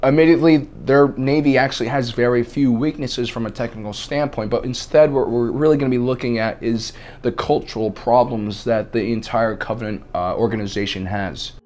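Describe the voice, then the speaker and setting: A man hosting a scripted video essay, with a slightly raspy voice and a deadpan, mock-serious delivery. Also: medium pitch, normal speed, few pauses. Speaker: host.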